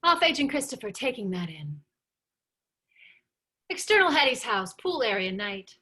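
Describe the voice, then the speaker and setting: A woman's voice: on the high side, very animated, normal speed, pushed and bright. A woman reading screenplay stage directions as scripted narration.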